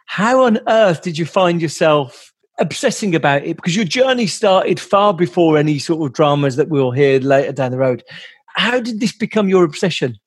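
A man's voice: a little high, lively intonation, normal speed, slightly rough, dry recording, clean studio sound. A man hosting a podcast, introducing and interviewing a guest in an enthusiastic way with occasional repeats. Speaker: podcast host.

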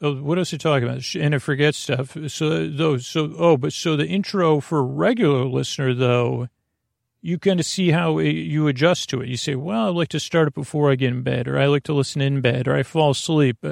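A man with a gravelly voice hosting a sleep podcast, rambling through a bedtime monologue, over-explaining and trailing off mid-thought. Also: on the high side, brisk, no room sound, clean studio sound. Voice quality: gravelly, creaky. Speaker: podcast host.

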